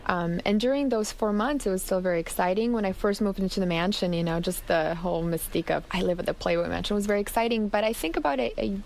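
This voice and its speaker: A woman's voice: medium pitch, fast talker, gravelly, hardly any pauses, darkish tone, slight background noise, rough recording. A woman from Canada speaking conversationally as the guest in a radio interview. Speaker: interview guest, memoir author.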